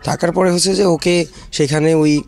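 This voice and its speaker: A man's voice: fairly high pitch, fairly even intonation, normal speed, vocal fry, darkish tone, soft background music. A man with a Bangladeshi accent giving explanatory interview answers as a shelter manager, in hesitant phrases sprinkled with English terms.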